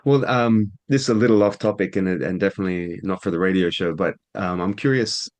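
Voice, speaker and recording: A man hosting an interview, asking relaxed, rambling questions full of fillers. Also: low voice, a little fast. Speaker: interviewer, radio show host. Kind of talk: casual conversational interview.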